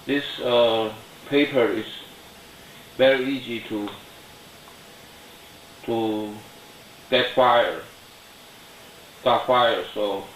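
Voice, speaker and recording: Guy doing a diy repair demo, really slow n careful, roomy echo, bit of noise. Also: mid-range voice, fairly bright tone. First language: not English. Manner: halting, careful, step-by-step. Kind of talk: unscripted how-to narration. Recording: echoey room, light noise, clicks.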